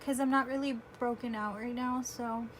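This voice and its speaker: A woman in her twenties chatting casually through a makeup tutorial, self-deprecating and often clearing her throat, recorded on her phone at home. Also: a little high, steady tone, moderate pace, slight background noise. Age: 20s. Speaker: beauty vlogger. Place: home room with air conditioner. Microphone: phone camera.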